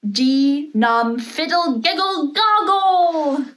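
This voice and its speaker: A kindly, regal cloud queen from a children's storybook, as a woman reader puts her on. She speaks in a very high voice and declaims deliberately, every inch the gracious ruler. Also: a bit bright, exaggerated voice. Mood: incantatory.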